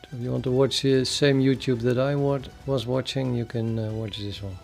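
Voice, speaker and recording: A man with a Dutch accent chatting casually on a live programming stream, thinking aloud and halting with many fillers. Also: medium pitch, steady tone, vocal fry, darkish tone, soft background music. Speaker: programming livestreamer.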